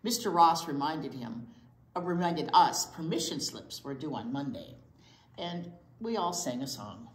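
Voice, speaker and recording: An older woman reads a picture book to children with asides, expressive and often dropping to a hushed near-whisper. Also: low voice, a little slow. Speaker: children's library storytime reader. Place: indoor children's library storytime corner. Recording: roomy echo, faint background noise.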